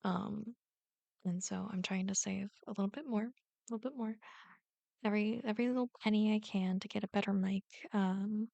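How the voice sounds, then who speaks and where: A woman's voice: mid-range voice, steady tone, a little fast, creaky, mellow, no room sound. A woman content creator chatting casually to her viewers in a giggly, rambling way. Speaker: ASMR roleplay creator.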